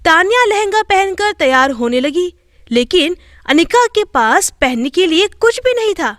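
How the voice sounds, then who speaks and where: A woman's voice: very high voice, very animated, measured pace, a bit bright, dry recording, clean studio sound. A woman storyteller reading scripted narration for a moral tale.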